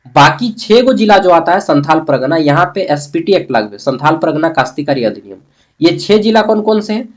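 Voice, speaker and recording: A man with a Jharkhand Hindi accent giving an informal exam-prep lesson as a teacher, conversational, repeating key terms and answering his own questions. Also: fairly high pitch. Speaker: history teacher.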